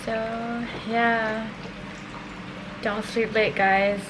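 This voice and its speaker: A young woman vlogging in a relaxed, chatty way, explaining things step by step from her desk at home. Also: on the high side, very slow, background noise. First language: English. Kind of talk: casual vlog narration. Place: small room at home, at a desk. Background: background music.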